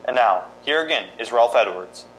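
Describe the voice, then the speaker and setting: A man's voice: mid-range voice, expressive, moderate pace, fairly bright tone, slight background noise, rough recording. A man playing a formal 1940s-style announcer of a suspense radio show, reading scripted credits. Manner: formal, presentational.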